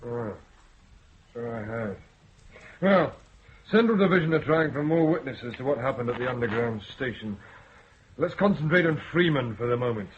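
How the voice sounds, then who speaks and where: An actor playing a senior police officer who speaks in a measured, deliberate way. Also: male voice, medium pitch, lively intonation, phone-quality sound, slight background noise, close to an everyday voice. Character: measured male police superintendent.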